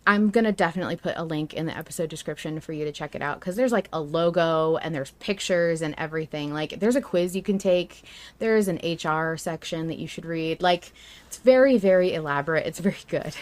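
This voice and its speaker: Casual, lower-pitched woman podcast host. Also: a little fast. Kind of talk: casual chat with guests.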